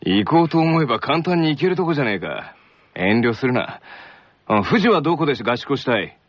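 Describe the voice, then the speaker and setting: A man playing an arrogant, bossy teenage tennis captain in a radio skit, with rough, commanding speech. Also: medium pitch, big pitch swings, a little fast, lo-fi sound, lightly acted. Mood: haughty.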